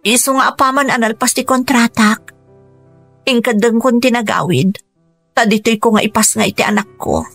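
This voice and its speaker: A woman's voice: a little high, normal speed, fairly bright tone, soft background music. An actress with a Filipino Ilocano accent playing an earnest woman in a rural family radio drama. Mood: regretful, plaintive.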